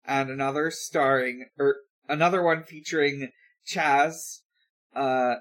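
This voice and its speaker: A man hosting a podcast in casual talk, with a halting, hesitant delivery. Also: mid-range voice, steady tone, fairly bright tone. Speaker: podcast host.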